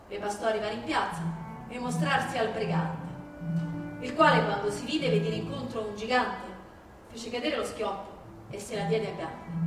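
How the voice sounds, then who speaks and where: A woman narrates a tale as a stage reader, in a high voice. She sounds far from the mic in a very reverberant hall, with music clearly behind her. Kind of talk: theatrical storytelling, scripted narration.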